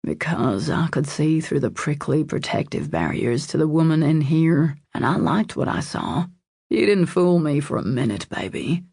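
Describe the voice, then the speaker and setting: A woman voicing a devoted, protective man in an audiobook romance, in a low husky murmur, earnest, intimate and reassuring. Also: sing-song, moderate pace, a little mellow, dry recording, clean studio sound, exaggerated voice. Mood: tender, earnest.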